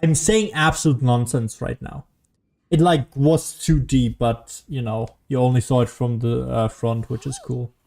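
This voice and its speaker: A man's voice: mid-range voice, fairly even intonation, a little slow, gravelly, a little mellow. A man who is a native German speaker, streaming a video game with casual, rambling, self-deprecating commentary.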